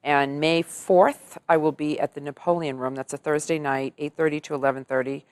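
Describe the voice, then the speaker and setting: A middle-aged woman talks in an easy, upbeat way and laughs often. Her speaking voice sits low. The recording is dry and close, with no room sound. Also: fairly even intonation, brisk, a little mellow, clear, resonant tone, dry recording. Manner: chatty, upbeat, laughs easily. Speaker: entertainer and singer. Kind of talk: casual interview chat.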